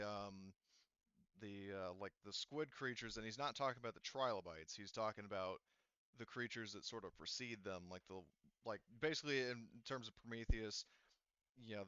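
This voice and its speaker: A man improvising tabletop game narration as game master, halting and explanatory, often circling back to clarify. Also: fairly low pitch, sharp tone, clear, resonant tone, no echo, muffled recording.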